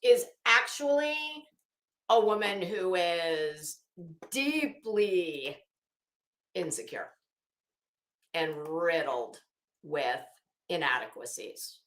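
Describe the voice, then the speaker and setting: A middle-aged woman giving casual live commentary in a halting, deliberate, emphatic way. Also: fairly low pitch, expressive, fairly bright tone. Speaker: YouTube commentator and host. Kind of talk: casual live commentary with document read-outs. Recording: echoey room.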